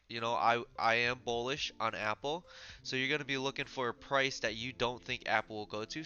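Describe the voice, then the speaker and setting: Male host, stuffy from a cold, bright crisp tone, loose tutorial delivery, lo-fi mic. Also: medium pitch. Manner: casual, filler-heavy explaining with frequent "uh". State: stuffy, a little sick. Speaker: trading channel host. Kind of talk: screen-share trading tutorial.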